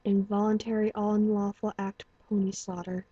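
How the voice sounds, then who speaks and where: A woman voicing a guilt-ridden, wounded male pony outcast who speaks low, flat and restrained. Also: moderate pace, husky, dry recording, dull audio, natural voice. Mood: grim.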